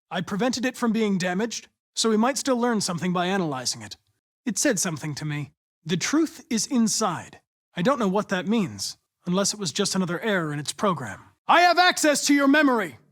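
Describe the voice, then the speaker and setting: A young man acting as a polite, analytical android police investigator in a video game, speaking in a precise, formal, measured way. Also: high-pitched, a bit bright, full character voice. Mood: clinical, matter-of-fact, then pressing, accusing. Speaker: android detective.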